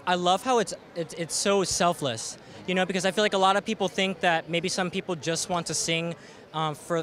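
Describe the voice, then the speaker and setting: A young adult man, a cantor, answering earnestly and a little haltingly into a handheld mic in a large arena. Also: fairly high pitch, fairly bright tone. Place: large indoor arena floor. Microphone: handheld mic held by interviewer.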